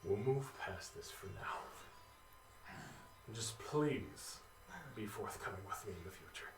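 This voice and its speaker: A man's voice: expressive, slow pace, music in the background. A man playing a weary old giant king. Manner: low, hushed and formal. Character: aging giant male king, weary but courteous. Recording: roomy and distant with faint noise.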